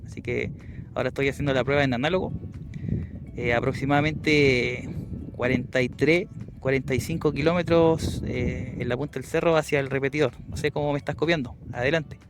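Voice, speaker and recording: A man's voice: medium pitch, steady tone, fairly quick. A man with a Chilean Spanish accent talking casually as an amateur radio operator doing a field test outdoors. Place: outdoors on a hilltop.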